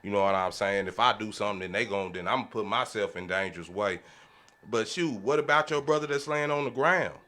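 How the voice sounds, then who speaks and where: A man's voice: lowish voice, brisk, pushed and bright. A man on a podcast panel talking casually and emphatically, in slangy, repetitive phrasing. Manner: emphatic, colloquial, repeats himself. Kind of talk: casual heated discussion.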